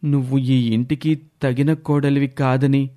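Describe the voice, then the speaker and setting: A man acting a hesitant, meek young husband in a story, speaking haltingly. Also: mid-range voice, level tone, warm tone, natural voice. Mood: hesitant, uneasy.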